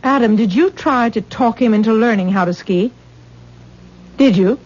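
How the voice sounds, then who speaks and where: Old muffled radio drama, actress playing sensible protective woman, higher-pitched, husky, skeptical, firm. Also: normal speed, a little mellow, close to an everyday voice. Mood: scolding, exasperated. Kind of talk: 1970s radio drama acting.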